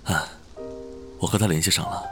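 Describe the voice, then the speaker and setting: A man playing a quietly resolute young man in a drama, speaking in a terse, restrained manner. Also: low-pitched, fairly even intonation, fairly quick, over music. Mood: guarded, casual. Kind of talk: drama acting.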